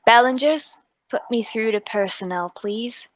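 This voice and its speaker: A woman playing a brisk, authoritative, businesslike Scotland Yard police inspector in a mystery radio play table read. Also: fairly high pitch, expressive, no echo. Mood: questioning.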